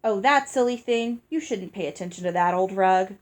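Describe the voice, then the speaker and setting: A brisk household cook, a grown woman in a storybook, read by a female narrator. She is plain-spoken and dismissive, keeping her answers evasive. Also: mid-range voice, natural voice.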